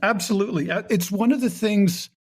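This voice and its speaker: A man from Canada talking casually as an interview guest, animated and rambling, acting out his own thoughts as he goes. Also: sits high, brisk, husky. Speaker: audio comedy creator, podcast guest.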